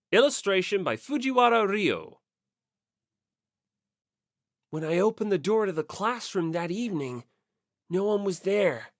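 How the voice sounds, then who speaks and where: An audiobook narrator reads scripted fiction with lively, wide-moving intonation. The delivery takes its time, and the sound is close and dry, with no room to it. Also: medium pitch, no room sound. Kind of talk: scripted manga and fan fiction reading.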